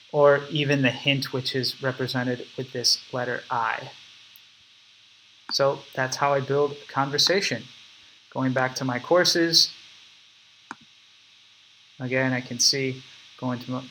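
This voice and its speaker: A man's voice: mid-range voice, sharp tone, slight background noise, poor audio. A young man casually explaining his app step by step in a recorded screen walkthrough at his computer. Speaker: app developer demonstrating his product.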